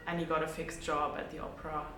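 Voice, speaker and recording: A woman's voice: sits low, little pitch movement, quick pace, far from the mic. A woman in her twenties from Germany, a music student, telling her background steadily. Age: 20s. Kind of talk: interview answers. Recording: echoey noisy room.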